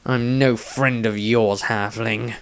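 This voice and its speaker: A man's voice: mid-range voice, fairly even intonation, takes it slowly, clear, resonant tone, voice pushed down. Man acting a menacing, sardonic battle healer. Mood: cold hostility. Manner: menacing, sneering. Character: menacing, sardonic, bloodthirsty fighting healer, male.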